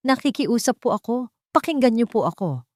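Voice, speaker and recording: A woman's voice: on the high side, big pitch swings, moderate pace, no echo, studio quality, voice pushed up. A woman voicing a meek, humble young housemaid in a story, deferential, pleading and heartbroken.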